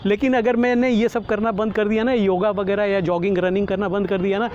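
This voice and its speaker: Middle aged guy w high voice, casual vlog chat explaining stuff to friends, outside with a bit of city noise. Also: little pitch movement, a little fast, a little mellow, muffled recording. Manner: explanatory, addresses viewers as friends repeatedly. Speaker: kite flyer presenting his vlog. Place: outdoors on an open rooftop. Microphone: clip-on lapel microphone. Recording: outdoor urban ambience, traffic noise. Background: faint outdoor city and vehicle noise.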